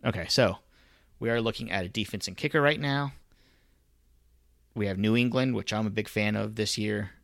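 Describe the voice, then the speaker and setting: A man's voice: fairly low pitch, moderate pace, vocal fry, long pauses, fairly bright tone, no echo, clean studio sound. A man co-hosting a casual sports podcast in a laid-back way, laughing easily as he reads through lists of names. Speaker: podcast co-host.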